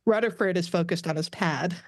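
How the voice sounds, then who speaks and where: A woman's voice: lowish voice, brisk, a little mellow, dry recording. A woman reading stage directions as scripted narration for a table read.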